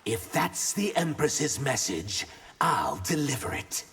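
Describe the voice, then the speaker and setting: An actor playing an earnest young male reptilian shapeshifter, speaking formally and in measured phrases in a video game. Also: mid-range voice, sing-song, fairly bright tone, breathy edge, faint music behind, heavily acted. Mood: firm. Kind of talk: video game fight banter, dramatic voice acting.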